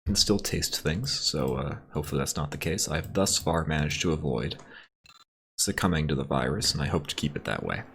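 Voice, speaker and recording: A man hosting a let's play, chatting casually and reading the game's story text aloud, his voice a little raspy from congestion. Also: a bass voice, brisk, a little hiss or hum. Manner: relaxed, thinking aloud while shopping for upgrades.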